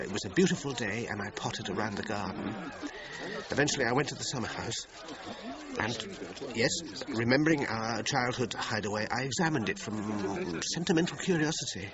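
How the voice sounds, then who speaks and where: An actor playing an evasive, self-justifying British musician in a crime radio drama, articulate and emphatic. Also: male voice, on the low side, lightly acted. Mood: subdued, reflective. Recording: dull lo-fi sound with pub chatter behind.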